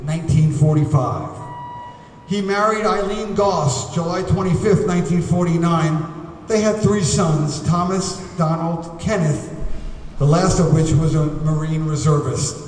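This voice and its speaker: A man emceeing a ceremony, reading biographies aloud at a podium in a formal, measured way with occasional hesitations. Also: sits high, slight background noise. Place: large museum hall. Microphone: podium microphone. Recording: echoey hall, voice from far off.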